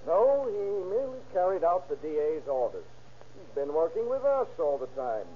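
An actor playing a brisk, self-assured investigator in a 1930s radio crime drama. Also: male voice, fairly high pitch, big pitch swings, slightly rough. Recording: muffled 1930s radio recording.

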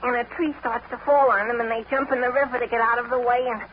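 A man's voice: very high voice, flat delivery, fast talker. A man playing a boyish, earnest teenage pal in gee-whiz 1940s radio comedy style. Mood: eager. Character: glum, eager teenage boy pal, Homer. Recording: muffled, noisy 1940s radio recording.

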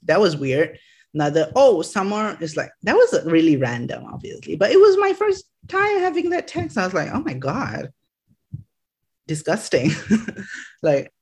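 A man's voice: sits high, huge pitch swings, measured pace. A young man from Malaysia, chatting in an interview, rambling with lots of "like" fillers and frequent laughs. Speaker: social media creator, guest. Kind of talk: casual interview chat.

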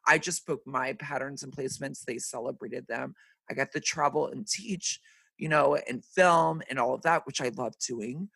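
A man in his thirties hosting a podcast, chatting casually with lots of fillers, his voice deeper and raspier than usual today. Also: normal speed, a bit bright, no room sound. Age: 30s. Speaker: podcast host. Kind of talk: casual chat.

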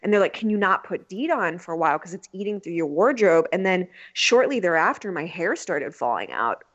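A woman's voice: lowish voice, big pitch swings, fairly quick, highs cut off. A woman actress chatting as a podcast guest, talkative, laughing and swearing freely. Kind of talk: casual interview chat.

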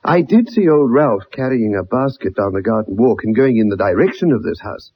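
An actor playing an earnest, determined gentleman, speaking formally in a 1960s radio drama. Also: on the low side, lively intonation, brisk, husky. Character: earnest, determined gentleman, loyal former comrade. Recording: muffled old radio recording.

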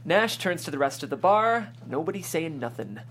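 A woman's voice: deep voice, sing-song, moderate pace. Woman reading stage directions, slightly noisy recording. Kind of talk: scripted narration at a table read.

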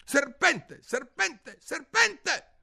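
A man voicing a frantic, indignant male pigeon guarding its eggs, shrieking and shouting in an audiobook. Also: very high-pitched, sing-song, very slow, creaky, pitched above the performer's own voice, exaggerated voice. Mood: shrill, accusing. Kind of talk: audiobook character voice.

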